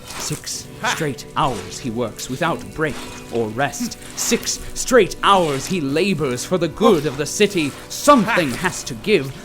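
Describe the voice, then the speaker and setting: An actor playing a grandiose, heroic chronicler, narrating an audio drama in a solemn, florid, declamatory manner. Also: male voice, a little high, sing-song, slow. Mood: epic, mock-solemn. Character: grandiose, heroic male chronicler of city history. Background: music.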